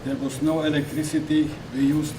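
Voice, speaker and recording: Man in his 80s, from Hungary, halting testimony, far from the mic, roomy hall. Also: a little high, little pitch movement. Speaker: guest speaker. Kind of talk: personal testimony. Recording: echoey hall, mic at a distance, noisy.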